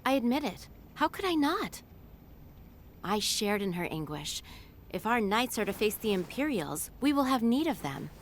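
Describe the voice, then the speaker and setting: An actress playing a poised, courteous female director of a magic institute in a video game, measured and formal. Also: on the high side, sing-song, a little hiss or hum. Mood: earnest.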